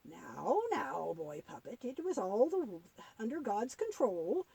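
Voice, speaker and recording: A woman voicing a kindly, patient old granny puppet who tells Bible stories to children in a halting, gently instructive way. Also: mid-range voice, sing-song, gravelly, breathy edge, pitched below the performer's own voice, heavily acted. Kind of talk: puppet-show storytelling for children.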